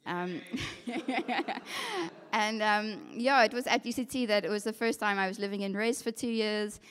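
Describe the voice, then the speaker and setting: A young woman with a South African English accent tells her personal story to a congregation, earnest and reflective, often pausing on "um" and "yeah". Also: medium pitch, expressive, fairly bright tone, smooth. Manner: earnest, reflective storytelling with frequent ums and yeahs. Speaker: occupational therapist giving a testimony. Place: church hall with a masked audience. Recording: long hall reverb.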